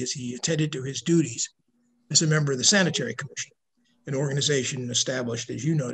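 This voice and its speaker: An older man giving a prepared slide lecture on history over a video call, reading in a measured way. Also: on the high side, gravelly, husky, low-quality recording. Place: indoor room at home, video call. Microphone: video call microphone.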